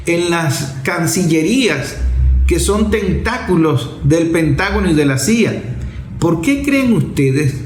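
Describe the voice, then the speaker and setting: A man's voice: a little high, reverberant, poor audio. A man delivering a political commentary monologue emphatically, leaning on rhetorical questions and repeated phrases.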